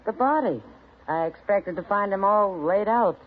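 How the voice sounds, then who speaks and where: An actress in a 1950s radio western playing a sharp, unflappable frontier woman with a dry, knowing manner. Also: mid-range voice, normal speed, a little hiss or hum, lightly acted. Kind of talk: 1950s radio drama acting. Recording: tinny old radio broadcast.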